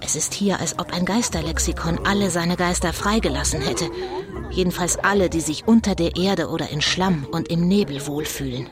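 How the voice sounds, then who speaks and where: An actress playing a suspenseful live reporter commentating on a ghost hunt in a children's audio drama. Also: female voice, fairly low pitch, moderate pace, close to an everyday voice. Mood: tense. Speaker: on-scene reporter. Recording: loud suspense music underneath.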